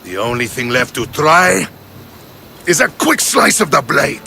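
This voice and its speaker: A man playing a principled, measured Spartan soldier in dramatic video game dialogue. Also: a little high, sing-song, vocal fry, bright, forceful tone, slight background noise, exaggerated voice. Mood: resolute.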